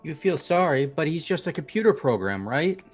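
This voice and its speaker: A man's voice: fairly high pitch, very animated, normal speed, husky, faint music behind. A man voicing a brash, casual, skeptical teenage boy with an offhand, argumentative manner, in amateur game voice acting.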